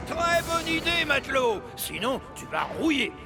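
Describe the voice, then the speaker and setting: Casting: hearty seafaring cartoon diesel, slow, creaky, pitched very high, jovial. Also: male voice, sing-song, over music, a crowd in the background, full character voice. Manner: hearty sailor talk, chuckling. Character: jolly salty-sailor male dockside diesel engine. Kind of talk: French-language children's cartoon dub.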